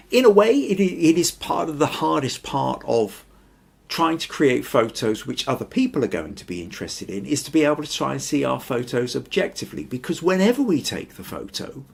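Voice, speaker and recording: A man's voice: a little high, big pitch swings, normal speed, creaky, breathy edge. An older man hosting a photography podcast from a small home room, chatting in a thoughtful, rambling way and reading out viewer comments. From Scotland. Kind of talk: casual live-stream chat.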